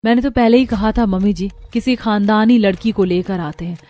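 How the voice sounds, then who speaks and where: A woman's voice: medium pitch, a little fast, dark tone, close to an everyday voice. A woman playing a frank young sister-in-law from a wealthy family in a story, gossipy and matter-of-fact. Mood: disapproving.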